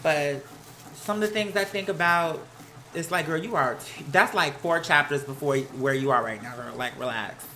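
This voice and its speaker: A campy American host chats casually to a livestream with plenty of slang and sass. The voice is mid-pitched and notably bright and forceful. It is recorded at home with some household noise behind it. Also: normal speed. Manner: sassy, campy, slang-heavy. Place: at home, laundry running nearby. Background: laundry noise.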